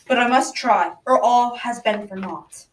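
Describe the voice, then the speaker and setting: A woman's voice: fairly high pitch, unhurried, distant mic, a little hiss or hum, lightly acted. A young girl playing a loyal, daring young hero in a school radio drama, with earnest, declamatory delivery. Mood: distressed, resolute. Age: child around 12 to 14. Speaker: student actor. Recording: echoey room, off the mic.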